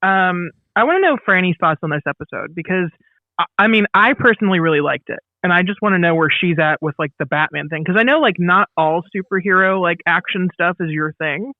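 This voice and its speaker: A man's voice: high voice, a little fast, no room sound. A man co-hosting a podcast, chatting casually and jokingly. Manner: joking, informal. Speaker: podcast co-host. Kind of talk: casual chat. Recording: tinny phone-like connection.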